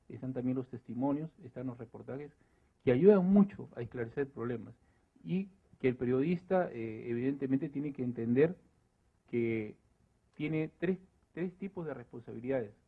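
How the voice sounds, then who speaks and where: A husky man of medium pitch gives analytical commentary as a debate panelist. He explains point by point in a measured way, and the sound is thin and phone-like. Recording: narrow, phone-like debate recording.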